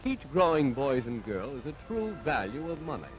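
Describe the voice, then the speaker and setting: 1940s American film narrator, widely swooping pitch. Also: male voice, sing-song, moderate pace, a little hiss or hum. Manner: earnest, authoritative, moralizing. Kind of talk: 1940s scripted newsreel-style narration. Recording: dull vintage 1940s film soundtrack.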